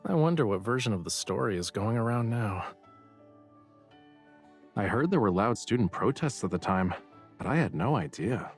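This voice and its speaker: An actor playing a playful, aloof art professor and boyfriend in a romantic game, teasing and understated, with music behind him. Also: male voice, fairly low pitch, expressive, normal speed, long pauses. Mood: musing.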